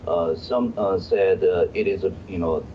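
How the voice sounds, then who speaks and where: A man with a Korean accent giving expert answers in an interview, halting and often searching for words. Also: low voice. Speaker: law professor, guest expert. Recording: dull, noisy remote guest audio.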